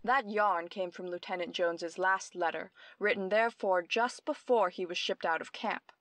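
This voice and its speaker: A woman's voice: fairly low pitch, moderate pace, a bit bright, no echo, natural voice. An actress playing a brilliant young woman detective, explaining her deductions precisely in old-time radio mystery style. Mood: intent, explanatory.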